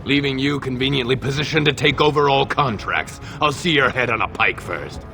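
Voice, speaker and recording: An actor voicing a haughty, belligerent dwarf lord, forceful and scornful, in a fantasy game. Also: male voice, mid-range voice, fairly quick, faint music behind. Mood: scornful, threatening. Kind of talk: dramatic fantasy game acting.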